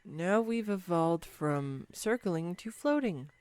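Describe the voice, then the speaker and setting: A woman's voice: on the low side, lively intonation, slow, darkish tone, clear, resonant tone, no echo. A woman playing a clumsy, giggly, self-deprecating girlfriend on a date, in an intimate one-sided roleplay audio drama. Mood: playful. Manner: flustered, self-deprecating, chatty with bursts of laughter.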